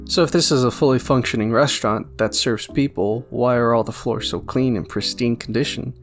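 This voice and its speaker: A man's voice: mid-range voice, fairly quick. A man giving casual fan theory commentary, rambling and jokey with a self-deprecating streak. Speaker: YouTube video essayist. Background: background music.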